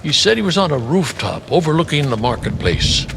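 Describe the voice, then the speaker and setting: An actor playing an old martial arts master in a video game, stern and serene, speaking formally with dry, measured delivery. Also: male voice, on the high side, very animated, vocal fry, heavy background noise. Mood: stern, detached. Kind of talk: video game cutscene voice acting.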